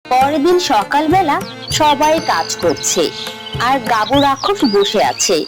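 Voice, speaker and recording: A woman's voice: high voice, big pitch swings, measured pace. A woman narrating a scripted children's story. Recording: music behind the voice.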